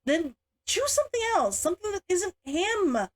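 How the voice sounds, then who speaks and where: A woman's voice: very high-pitched, very animated, normal speed, voice pushed up. A woman reading the part of a stern, exasperated elder sister and dutiful matriarch in a storybook, in a scolding manner. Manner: growling, muttering, scolding.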